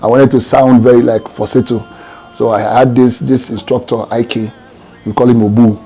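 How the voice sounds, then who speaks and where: A man's voice: mid-range voice, little pitch movement, normal speed, warm tone, husky. A Nigerian man, a gospel singer, answering interview questions in a reflective, hesitant way with many fillers and restarts.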